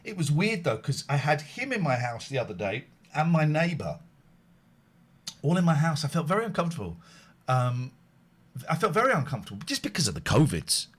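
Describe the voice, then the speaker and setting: A man's voice: a little high, big pitch swings, fairly quick, fairly bright tone, slightly rough. A man hosting a late-night call-in show, chatting casually and rambling between jokes. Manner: chatty, rambling, jokey, self-deprecating. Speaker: radio show host.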